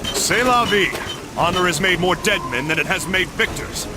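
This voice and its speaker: An actor playing an earnest young crusader knight in a video game, dry and teasing with a moralizing streak. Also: male voice, high voice, expressive, moderate pace, noisy background. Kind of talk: video game dialogue acting.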